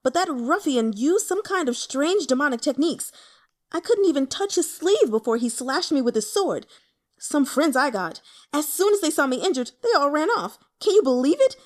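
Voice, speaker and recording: A woman voicing an excitable, naive young man in an audiobook, whiny and indignant, rushing and stammering through his complaints. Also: sits high, voice pushed up. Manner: rushed, stammering, melodramatic complaining. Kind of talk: audiobook character voice.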